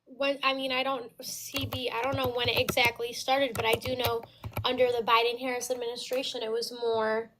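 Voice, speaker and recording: A woman in her twenties from the United States, speaking with a very high voice in a casual debate, halting at first, then insistent. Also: flat delivery, noisy background. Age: 20s. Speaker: caller.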